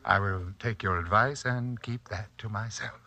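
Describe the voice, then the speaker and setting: An actor playing a polite, composed, sinister mystic uncle with soft, formal, condescending speech in 1940s radio drama style. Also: male voice, fairly low pitch, moderate pace, very noisy, close to an everyday voice. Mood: veiled menace. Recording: vintage 1940s radio recording.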